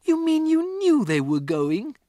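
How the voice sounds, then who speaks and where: A frantic little girl cries out in a voice pushed far above the reader's own, as a narrator puts her on. She pleads high and breathless, with no room sound around her. It is a storybook reading. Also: wildly swooping pitch, a little slow, dark tone, dry recording, clean studio sound, full character voice. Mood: distraught. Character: distraught young schoolgirl, pleading.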